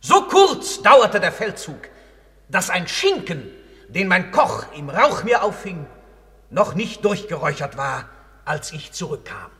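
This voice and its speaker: A proud Roman general before a court of the dead. His voice is high and piercing, with sweeping, animated melody. He boasts in theatrical radio-drama style, ringing through a long hall reverb. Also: a little slow, exaggerated voice. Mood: boastful, proud. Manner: declamatory, boastful. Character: proud Roman general, now a shade on trial. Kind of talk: German radio play, theatrical verse delivery.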